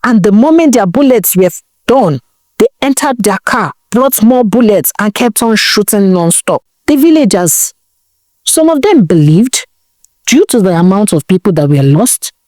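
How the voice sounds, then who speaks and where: Woman telling a folktale, lively swooping voice, dry close sound. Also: mid-range voice, moderate pace, no room sound. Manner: dramatic, expressive, asks the listener questions. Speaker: storyteller. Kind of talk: folktale storytelling narration.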